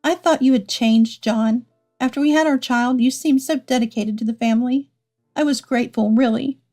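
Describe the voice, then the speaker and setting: A woman's voice: fairly high pitch, normal speed, warm tone, faint music behind, natural voice. A woman voicing a hurt, protective wife and mother in a dramatized story. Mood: hurt, confronting. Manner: earnest, pleading.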